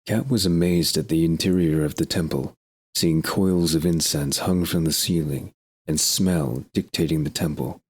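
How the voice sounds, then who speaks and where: Really low husky guy reading scripted story narration for an audio drama. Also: normal speed.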